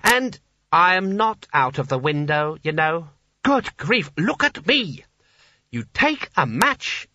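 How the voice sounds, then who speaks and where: Man putting on a pompous, bossy wizard, swooping pitch. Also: very animated, bright, forceful tone, dry recording, lo-fi sound, heavily acted. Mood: pompous, irritated. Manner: brisk, bossy, exasperated. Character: pompous, bossy, irritable male wizard ringleader.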